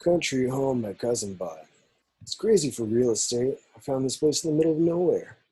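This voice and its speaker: A man at an amateur table read playing a breezy, easygoing college guy with a husky voice. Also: medium pitch, animated, moderate pace, warm tone, poor audio.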